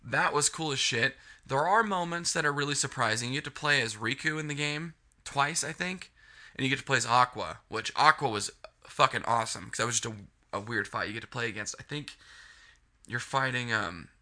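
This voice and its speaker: A man's voice: medium pitch, a little fast, pushed and bright. Sniffly, swearing man ranting about games. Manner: frustrated, rambling, heavy swearing. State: sniffly from a cold. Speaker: video game reviewer. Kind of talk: casual ranting game review.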